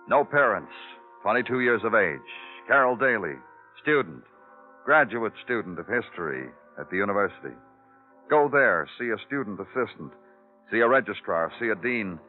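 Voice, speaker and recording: Casting: jaded male detective, radio noir, deep-set clipped delivery, narrow old broadcast sound. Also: sing-song, moderate pace. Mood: brisk, clipped. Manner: clipped, sardonic, world-weary. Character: weary, hard-boiled male police detective. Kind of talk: 1950s radio noir narration and acting. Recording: narrow-band old radio, faint music behind.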